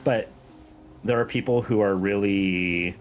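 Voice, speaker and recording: A man with a fairly low voice talks in a casual, earnest way, as a guest on a gaming stream would. He is informal and plays himself down. There is faint noise in the background of the recording. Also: a little slow. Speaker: guest, game mod creator.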